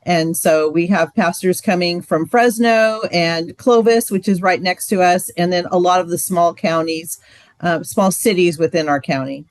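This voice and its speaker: A woman's voice: fairly low pitch, moderate pace, dry recording. A woman in her sixties, a marriage ministry director, casually answering questions on a video call. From Central California. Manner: casual, relaxed, filler-heavy.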